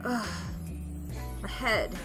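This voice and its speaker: A woman playing a visual novel for a gaming channel, reading its dialogue aloud. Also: very slow. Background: game music.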